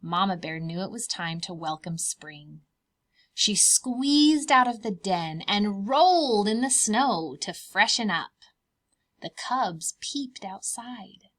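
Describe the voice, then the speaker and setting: A woman preschool teacher reading a children's storybook aloud in a deliberate, expressive, child-directed way. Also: medium pitch.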